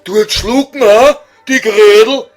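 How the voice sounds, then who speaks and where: A man's voice: high voice, takes it slowly, faint music behind. A man voicing a grumpy old scholar in Viennese dialect for an audio comedy sketch, whining and impatient. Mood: impatient, irritated.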